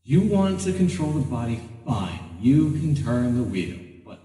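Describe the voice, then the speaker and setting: A man performs a haughty drow who gives in only grudgingly. The delivery is slow and imperious, with lively swings in pitch and irritation underneath. It sounds echoey, as if a little away from the mic. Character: haughty, irritable drow bound by a life debt. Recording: roomy, echoey distant mic.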